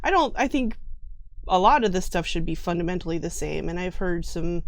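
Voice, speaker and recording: A woman with a slightly low voice talks as a guest player in a casual interview. She is informal, giggly and a little self-deprecating. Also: expressive, brisk, dry recording. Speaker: guest, tabletop game player. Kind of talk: casual chat.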